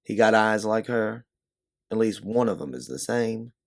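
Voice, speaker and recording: A man's voice: lowish voice, expressive, a little slow, gravelly. A man voicing a blunt, watchful, folksy doorman in a story, talking plainly and protectively. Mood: gruff, frank. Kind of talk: second-person storybook narration, character dialogue.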